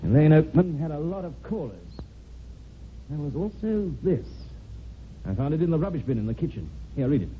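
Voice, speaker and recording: An actor playing a shrewd, businesslike police inspector with a brisk, probing way of questioning, in an old-time radio drama. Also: male voice, expressive, natural voice. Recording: rumbly, noisy old narrowband radio recording.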